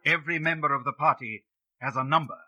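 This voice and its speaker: An actor as a solemn, emphatic radio drama narrator, husky and declamatory. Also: male voice, on the high side, quick pace, bright, forceful tone, highs cut off, faint music behind. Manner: declamatory, portentous.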